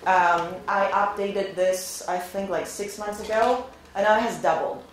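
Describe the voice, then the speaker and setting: A woman's voice: mid-range voice, unhurried. Woman, 30s, upbeat recruiter chatting to students; captured from afar in a very roomy classroom. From born in Venezuela, raised in Spain. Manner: upbeat, chatty, asks the audience frequent questions. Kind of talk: informal presentation to a class. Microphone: far camera, no visible mic. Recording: very echoey classroom, faint noise.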